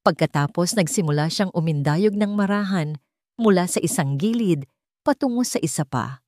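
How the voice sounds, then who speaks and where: A woman's voice: fairly low pitch, animated, normal speed, mellow, no echo, clean studio sound. A woman with a Filipino accent reading a scripted, dramatic story as an audiobook narrator.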